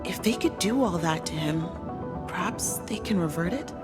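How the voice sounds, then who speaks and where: A woman's voice: fairly low pitch, animated, normal speed, music in the background, natural voice. A woman playing a practical, loyal scientist crewmate in a video game, natural and direct. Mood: concerned, practical. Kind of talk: video game cutscene acting.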